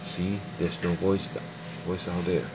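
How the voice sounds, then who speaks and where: A man with a low voice walks through a product in a casual hands-on demo. His explaining is halting and repetitive, and clear noise runs behind him in the indoor recording. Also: flat delivery. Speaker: product demonstrator. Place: indoors at a desk with electronics. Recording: clear background room noise.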